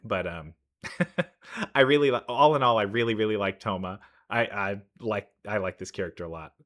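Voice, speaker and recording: Bright-voiced man rambling enthusiastically. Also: fairly low pitch, moderate pace. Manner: rambling, thinking aloud, enthusiastic. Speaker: reaction video host. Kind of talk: casual game trailer commentary. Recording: music behind the voice.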